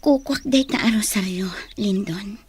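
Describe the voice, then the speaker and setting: A woman playing an anxious, devout sweetheart in a radio drama, pleading in a hushed, breathy way. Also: mid-range voice, moderate pace, slight background noise, close to an everyday voice. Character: anxious devout woman parting from her lover. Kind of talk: Ilocano romantic radio drama acting.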